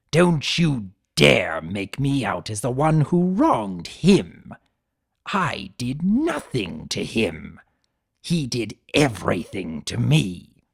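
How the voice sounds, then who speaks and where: A man plays a fallen demonic centaur villain in a dramatic story reading. He speaks very slowly and menacingly, stretching his words with bitter pride and greed. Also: medium pitch, creaky, slightly rough, heavily acted. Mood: bitter rage. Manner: slow, menacing, drawn-out delivery. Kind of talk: dramatic fan fiction reading.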